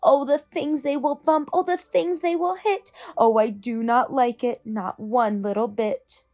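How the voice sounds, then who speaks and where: Woman voicing a high-pitched, fretful little storybook fish. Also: fairly even intonation, unhurried, darkish tone. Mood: scolding, alarmed. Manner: urgent, alarmed, insistent. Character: fretful, scolding pet fish, anxious about trouble. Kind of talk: children's storybook read-aloud.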